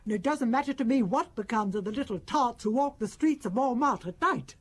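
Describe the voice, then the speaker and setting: A woman's voice: on the high side, expressive, fairly quick, slightly rough. An actress playing a haughty, possessive older mother in a radio detective drama, indignant and imperious. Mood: defiant. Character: haughty, possessive, defiant mother of a grown son.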